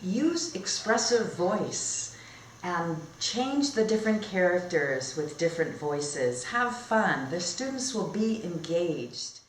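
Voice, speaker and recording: A woman teacher demonstrating read-aloud storytelling and instruction in simple, expressive phrasing. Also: on the low side, slow, slight background noise. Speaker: teacher trainer. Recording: echoey room.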